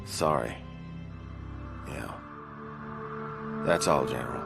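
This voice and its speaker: An actor playing a weary, bitter American president making a grave decision. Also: male voice, a bass voice, slow, vocal fry, over loud music, heavily acted.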